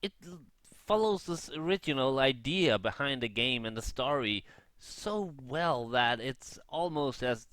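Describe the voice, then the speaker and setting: A man giving casual, unscripted gaming commentary in a halting way, often rephrasing and correcting himself. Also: mid-range voice, expressive, a bit bright, no room sound. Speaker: gaming vlogger.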